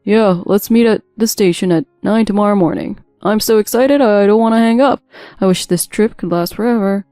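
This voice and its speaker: A woman's voice: lowish voice, quick pace, mellow, smooth, no room sound, clean studio sound, faint music behind, lightly acted. A woman voicing a self-centred, evasive and dismissive husband in a scripted audio story. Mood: smitten, eager.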